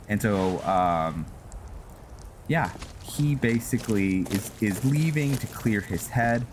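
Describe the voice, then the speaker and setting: A man commentates casually on a roleplay game over loud music. His voice sits a little low and he talks very slowly, in a halting, improvised way, letting thoughts trail as he recaps the story. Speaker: gameplay host narrating a roleplay playthrough.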